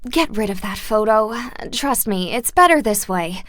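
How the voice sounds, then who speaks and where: An actress playing a singer who talks in a soft, placating, pleading way, torn between her husband and an old friend. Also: mid-range voice, animated, measured pace, no echo, clean studio sound.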